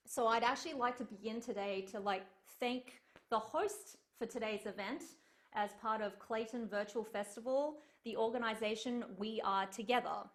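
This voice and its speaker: A woman's voice: fairly high pitch, moderate pace. A young woman giving an online lecture as a cellist, chatty and earnest, with frequent ums, over a video call. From Australia. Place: home room on a video call.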